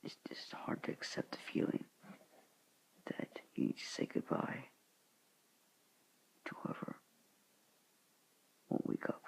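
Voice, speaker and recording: A teenage boy talking to his viewers in a soft, hushed, halting whisper, earnest and sorrowful in tone. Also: poor audio. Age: teens. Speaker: student vlogger. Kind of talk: whispered somber address to viewers.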